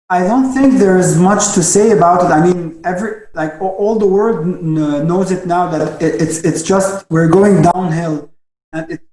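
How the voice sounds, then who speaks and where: A young man from Lebanon, a doctor, answering interview questions over a video call, halting and restarting his sentences. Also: high voice, a little mellow, echoey room, poor audio. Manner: halting, frequent restarts and stammers. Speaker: guest doctor.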